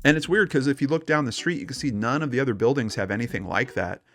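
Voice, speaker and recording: A man's voice: mid-range voice, fast talker, fairly bright tone, faint music behind. A man co-hosting a show in casual chat, with a joking, skeptical manner. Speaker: co-host.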